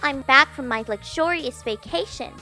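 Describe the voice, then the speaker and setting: High, bright, bubbly cartoon girl. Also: normal speed, faint music behind. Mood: cheerful. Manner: bubbly, eager. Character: perky, girlish, sweet female cartoon hedgehog.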